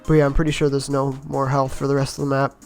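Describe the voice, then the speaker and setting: A man with a slightly raspy voice from being a bit sick, giving easygoing, rambling voice-over commentary on his own game run and laughing at his own mistakes. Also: mid-range voice, monotone, fast talker, a little mellow, soft background music. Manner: easygoing, rambling, self-mocking with chuckles. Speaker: gameplay commentator.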